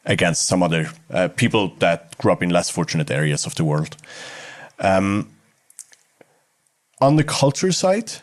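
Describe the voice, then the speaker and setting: A man's voice: on the low side, no echo, clean studio sound. A man from Switzerland, speaking reflectively as an interview guest.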